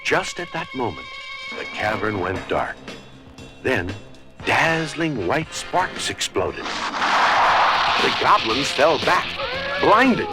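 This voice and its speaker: A man narrating a scripted children's story in a dramatic, deliberate storybook style. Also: medium pitch, wildly swooping pitch, over loud music, rough recording.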